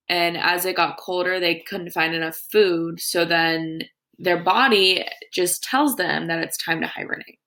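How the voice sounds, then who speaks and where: A woman teacher with a creaky voice reading aloud to young children in an instructive way, with her own comments and questions. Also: fairly low pitch, bright, forceful tone.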